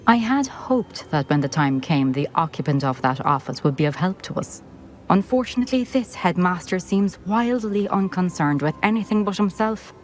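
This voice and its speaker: A woman playing a dignified former headmistress in a video game, speaking in a formal, measured manner. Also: lowish voice, lively intonation, faint music behind, close to an everyday voice. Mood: grave, measured. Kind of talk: video game cutscene acting.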